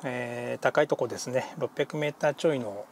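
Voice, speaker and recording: A man's voice: mid-range voice, moderate pace, vocal fry, over a noisy crowd. A man giving casual vlog narration as a ham radio hobbyist, often muttering hesitantly to himself. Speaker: amateur radio hobbyist and vlogger.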